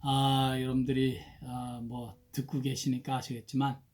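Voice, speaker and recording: A male pastor with a hoarse voice, leading morning worship and prayer in a slow, soft-spoken, halting way. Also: mid-range voice, completely flat delivery. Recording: faint guitar music behind.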